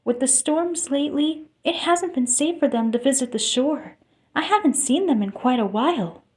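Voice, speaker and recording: A woman voicing a gentle, caring young mermaid princess for a storybook read-aloud. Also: sits high, animated, normal speed, voice pushed up. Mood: earnest.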